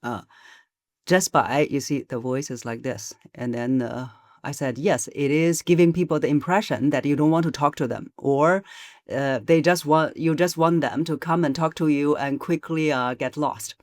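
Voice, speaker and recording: A woman voice coach, a podcast guest, explaining in a measured way with frequent pauses and hesitations. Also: sits low, no echo, clean studio sound. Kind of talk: podcast interview.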